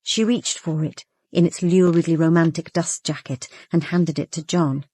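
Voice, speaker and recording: A woman's voice: low-pitched, steady tone, normal speed, dark tone, no room sound, studio quality. An actress playing a composed, observant woman detective who narrates a radio drama in the first person. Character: observant, guarded young woman detective narrating her case.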